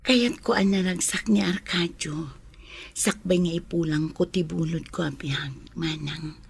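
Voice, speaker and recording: A woman with an Ilocano accent playing a gentle, wistful lady of the house in a radio drama, kind but firm. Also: lowish voice, unhurried, creaky, slightly rough, exaggerated voice. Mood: tender, wistful.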